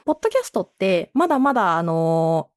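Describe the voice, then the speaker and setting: A woman giving conversational interview answers as a podcast guest, explaining her points politely and softening them as she goes. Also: fairly low pitch, very animated, a little fast, no echo, studio quality. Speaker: podcast guest, audio drama creator.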